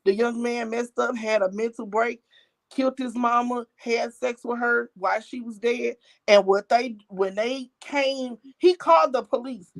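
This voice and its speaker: An older woman calling in to a show, talking bluntly and excitedly in casual slang. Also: fairly high pitch, a little slow, creaky. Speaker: caller. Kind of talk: casual phone-in chat.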